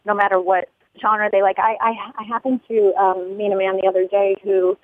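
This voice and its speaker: A woman's voice: mid-range voice, level tone, moderate pace, no echo. A musician woman from the United States, talking in a phone interview, rambling and laughing often. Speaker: musician guest. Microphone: telephone. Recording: tinny phone line.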